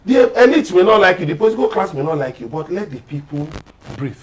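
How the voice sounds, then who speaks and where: A man's voice: sits high, big pitch swings, fast, a crowd in the background. A man with a Nigerian accent speaking as a panel guest in a political discussion, emphatic and urging in manner. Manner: emphatic, exhortative.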